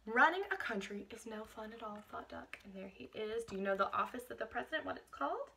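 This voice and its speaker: A woman reading a picture book aloud to children as a teacher, expressive, with explanatory asides and questions for young listeners. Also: a little high, fast, creaky, slight background noise.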